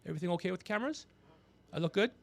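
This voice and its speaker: A gregarious man hosting a podcast, telling rambling comedic stories with animated, teasing asides. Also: sits high, very fast, vocal fry. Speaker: podcast host. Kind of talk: rambling comedic storytelling on a livestream.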